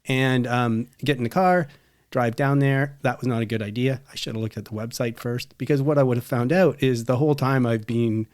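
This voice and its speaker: A man's voice: mid-range voice, steady tone, brisk, darkish tone. A middle-aged man with a Canadian accent, chatting casually and telling rambling anecdotes as a podcast co-host. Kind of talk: casual chat.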